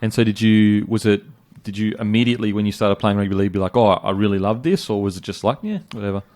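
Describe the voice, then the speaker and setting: A man's voice: on the low side, quick pace, a little mellow. A young man hosting a casual interview, swearing freely and bantering. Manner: swearing, laid-back banter. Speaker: podcast host.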